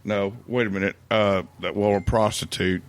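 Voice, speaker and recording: A man co-hosting a casual podcast, blunt and rambling, with many pauses and fillers. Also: fairly low pitch, fairly even intonation. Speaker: podcast co-host. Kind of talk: casual profane podcast chat.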